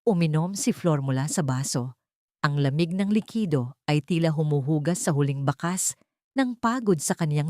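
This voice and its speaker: Woman, lowish pitch, dry close sound, dramatic audio story narration, earnest and sentimental. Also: darkish tone, no room sound.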